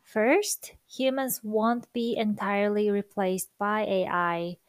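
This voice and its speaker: A woman's voice: medium pitch, steady tone, dry recording, studio quality. A Japanese-accented woman whose first language is Japanese, sharing reflective thoughts with viewers in a careful, thoughtful way. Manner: slow, careful, thoughtful delivery with soft fillers. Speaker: language-learning vlogger.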